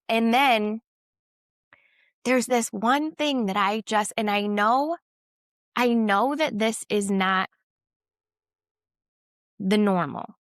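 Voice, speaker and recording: A young woman with a stuffy, nasal voice from a lingering cold talks earnestly on a podcast. She is candid and faith-driven and often restarts her sentences. The sound is smooth and dry, close to the mic. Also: on the high side, very slow, long pauses, dry recording. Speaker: podcast co-host. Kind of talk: candid casual chat.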